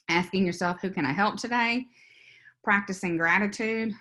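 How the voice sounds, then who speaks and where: A woman's voice: mid-range voice, measured pace, fairly bright tone. A woman with a Louisiana Southern accent giving an informal, encouraging workshop presentation in an emphatic, conversational way. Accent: Louisiana Southern American. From Louisiana, United States. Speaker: workshop presenter, business consultant. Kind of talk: informal instructional webinar presentation.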